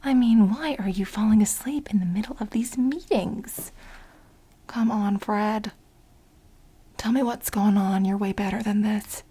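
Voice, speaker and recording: A woman playing an obsessive, exasperated administrative assistant in a soft-spoken, whispery ASMR role play, insistent and repeating herself as she pleads with her boss. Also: mid-range voice. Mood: exasperated coaxing. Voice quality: breathy, whispery. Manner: insistent, repetitive, halting for emphasis.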